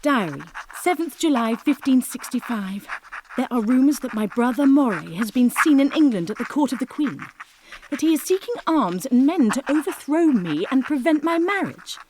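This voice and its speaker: A woman's voice: high voice, expressive, moderate pace, noisy background. A woman playing a young, headstrong, romantic queen in a dramatized audiobook, speaking in a dramatic, declamatory way. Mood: resolute, wary. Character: young Mary Queen of Scots, romantic, headstrong, reading her diary. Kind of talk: dramatized audiobook diary reading.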